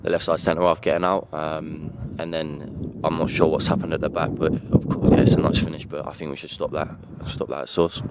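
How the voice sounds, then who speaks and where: A young man, a footballer giving an earnest, halting post-match interview at a pitch outdoors at night, speaking into a handheld mic. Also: level tone, heavy background noise. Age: young adult.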